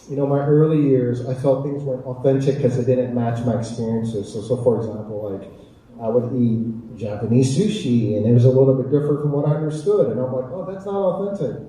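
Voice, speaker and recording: A man with an American accent speaking reflectively on a panel as a chef, earnest and deliberate, repeating phrases for emphasis. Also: mid-range voice, warm tone. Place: echoey indoor community event space. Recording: echoey hall, distant mic, faint noise.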